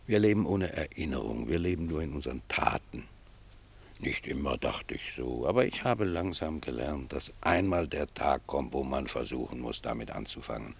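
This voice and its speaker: A man's voice: extremely low pitch, lively intonation, vocal fry, slightly rough, heavily acted. A man playing a humble, pious old gardener in a German radio drama, speaking reflectively and with deference to his superior. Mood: pensive, devout. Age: older. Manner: reflective, deferential, unhurried phrasing.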